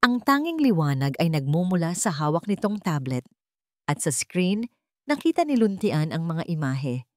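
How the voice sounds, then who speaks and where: A woman's voice: on the low side, sing-song, moderate pace, darkish tone, no room sound, studio quality. A woman reading a scripted love story as a dramatic narrator.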